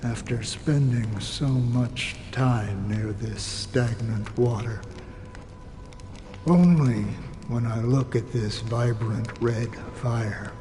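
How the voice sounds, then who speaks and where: Somber male warrior, slow deliberate delivery, pensive, philosophical, game dialogue, long reverb. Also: mid-range voice. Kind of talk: English video game voice acting. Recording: long reverb under loud music.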